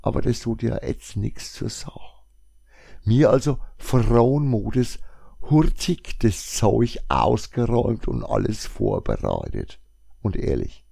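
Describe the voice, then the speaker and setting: A man's voice: on the low side, vocal fry, dark tone, dry recording, studio quality. A man with a Franconian German accent chatting casually to his listeners in a rambling blog monologue, full of wry asides. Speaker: shop blogger.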